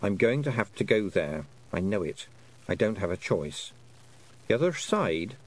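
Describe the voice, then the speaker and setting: A man's voice: lowish voice, big pitch swings, unhurried. A man voicing an earnest, determined but anxious young girl in a storybook reading. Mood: resolute.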